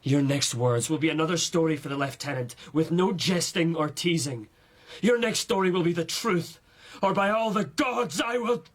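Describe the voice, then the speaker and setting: An actor in a radio drama playing a hot-tempered, imperious high lord, commanding, righteous and menacing. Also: male voice, normal speed, close to an everyday voice. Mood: furious. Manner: commanding, declamatory.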